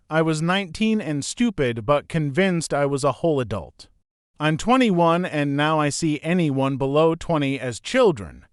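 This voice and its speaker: A man reading forum posts and replies aloud in a steady, even narration. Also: on the high side, clean tone, no echo, studio quality. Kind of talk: scripted narration.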